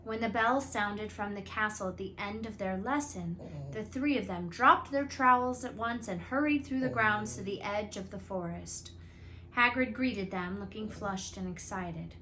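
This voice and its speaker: A woman reading a storybook aloud as a teacher, in an expressive narrating manner. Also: mid-range voice, measured pace, slight background noise, low-quality recording.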